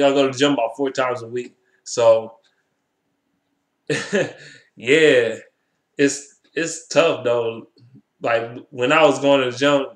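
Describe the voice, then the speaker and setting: A man's voice: medium pitch, a bit bright. An American man in his twenties chatting casually to camera in slangy, profanity-heavy speech, often repeating himself. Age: 20s. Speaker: vlogger. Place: small bare indoor room. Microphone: webcam at arm's length. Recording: echoey room, webcam-style microphone.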